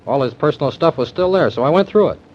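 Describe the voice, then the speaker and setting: An actor in 1940s radio acting, playing a confident young man who briskly lays out his case. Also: fairly high pitch, animated. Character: resourceful young man, accused suspect. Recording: dull old radio recording.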